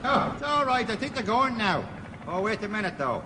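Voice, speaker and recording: A man's voice: high-pitched, very animated, fairly quick, pushed and bright, full character voice. A man playing a timid, apologetic building-site worker in a 1960s radio comedy. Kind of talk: 1960s radio sitcom acting. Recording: noisy, reverberant old radio recording.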